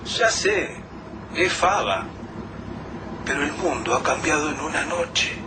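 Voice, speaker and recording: A man with a Rioplatense accent plays an anxious survivor in a radio drama, talking in quick urgent bursts. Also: on the high side, lively intonation. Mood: suspicious. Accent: Rioplatense Spanish. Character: tense male survivor giving directions. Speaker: Lucas, a survivor. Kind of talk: amateur radio drama acting. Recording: distant mic with background noise.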